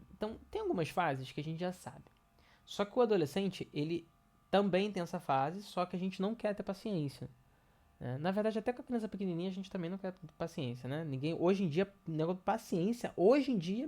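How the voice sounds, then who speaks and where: Brazilian educator, man, stuffy nose from a cold, relaxed explaining to parents. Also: sits high. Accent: Brazilian Portuguese. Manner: conversational, many fillers and restarts. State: has a cold, nasal voice. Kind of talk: casual educational talk to parents.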